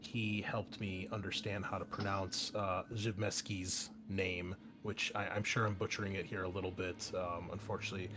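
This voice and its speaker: Male game master and host, casual chatty delivery, fairly low voice, noticeably noisy recording. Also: level tone, moderate pace, few pauses. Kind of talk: casual chat introducing a tabletop game session. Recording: clear background noise.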